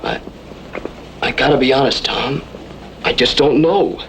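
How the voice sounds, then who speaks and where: A man's voice: mid-range voice, a little slow, fairly bright tone. A man playing an earnest, loyal young cowboy in an old-time radio western, speaking plain country talk. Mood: torn, sincere. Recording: faintly noisy old radio recording.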